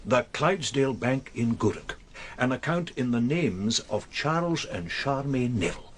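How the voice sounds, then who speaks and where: A man's voice: medium pitch, expressive, normal speed, slight background noise, lightly acted. An actor playing a meticulous, wordy Scottish man who is helping police in a radio crime drama, speaking a little hesitantly and with some self-satisfaction. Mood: quietly pleased. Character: fussy, self-satisfied male amateur investigator helping police.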